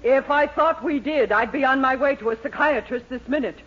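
An American woman playing a frosty, indignant middle-aged mother in a 1940s radio comedy, haughty and sharp-tongued. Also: high-pitched, fairly quick, a little hiss or hum, natural voice. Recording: muffled 1940s radio recording.

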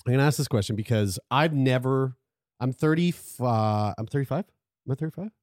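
A man's voice: medium pitch, brisk, darkish tone, no echo, studio quality. A man of thirty-five hosting a podcast, talking casually with lots of restarts and casual swearing. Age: 30s. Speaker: podcast host. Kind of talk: casual chat.

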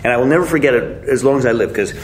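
A man's voice: mid-range voice, rapid-fire, a little hiss or hum, low-quality recording. A man in his forties, a guest telling his story in a casual, blunt way, swearing freely and joking at his own expense. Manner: blunt, swearing, self-mocking, chuckling.

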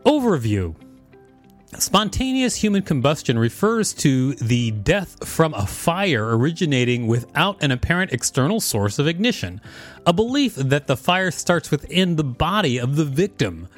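Man with lively, swooping intonation. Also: on the high side, moderate pace, faint music behind. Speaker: podcast co-host. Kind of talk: casual chat and reading an encyclopedia article aloud.

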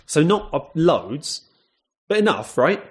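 A man with a London accent, co-hosting a casual comedy podcast and rambling through a story, dropping into a lower voice to quote people. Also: big pitch swings, slow, breathy edge. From south London. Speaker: podcast co-host.

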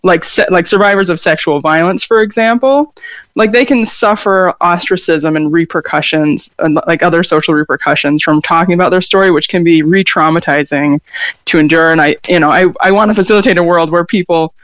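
A woman's voice: on the low side, a little fast, dry recording. An American woman from upstate New York and Vermont, speaking as a songwriter in an interview, hesitant, with many fillers and restarts. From upstate New York, raised in Vermont. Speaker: songwriter guest. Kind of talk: interview conversation.

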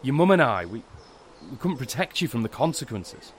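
An actor playing a burdened, middle-aged father in an audio drama, speaking earnestly and haltingly. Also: mid-range voice, sing-song, slight background noise, natural voice. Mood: pained, confessing. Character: protective, burdened father of a teenage girl.

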